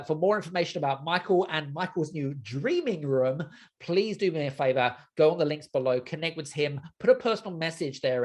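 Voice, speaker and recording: High-pitched man hosting a podcast. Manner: chatty, repeats affirmations and phrases. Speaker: podcast host. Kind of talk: casual podcast interview.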